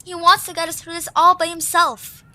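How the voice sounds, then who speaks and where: A woman's voice: very high-pitched, little pitch movement, fairly quick, background noise. A woman voicing a stubborn, guilt-ridden young creature in an audio drama. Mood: resigned, thoughtful. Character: stubborn, guilt-ridden young female Zoombini creature searching for her friend. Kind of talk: audio drama character acting.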